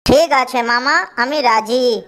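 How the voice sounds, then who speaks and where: A woman's voice: on the high side, slow, a bit bright. A woman voicing an eager, deferential young male bird in an animal cartoon, earnest and pleading. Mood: eager, determined.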